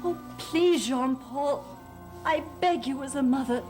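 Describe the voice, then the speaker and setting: Fearful soap opera mother, fairly high voice, fretful, imploring, overwrought, melodramatic, music underneath. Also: measured pace. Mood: fearful, pleading. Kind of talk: gothic soap opera acting.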